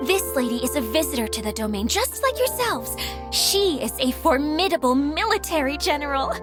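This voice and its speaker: Eager, high-voiced magical caretaker girl. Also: big pitch swings, normal speed, over music. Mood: proud, enthusiastic. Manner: formal, earnest, effusive, apologetic. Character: eager, polite young female caretaker of a magical realm. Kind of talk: game cutscene character dialogue.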